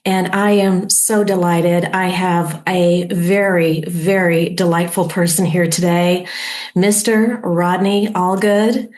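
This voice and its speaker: A middle-aged woman hosting a podcast interview, talking in a friendly, halting way with many fillers and restarts. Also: lowish voice, steady tone, a little mellow. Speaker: podcast host. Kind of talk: casual interview.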